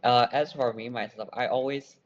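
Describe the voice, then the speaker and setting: A man's voice: medium pitch, fairly even intonation, moderate pace, highs cut off. A young man with a Burmese accent answering as a student guest in a casual podcast interview, self-deprecating and hesitant. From Myanmar. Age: young adult.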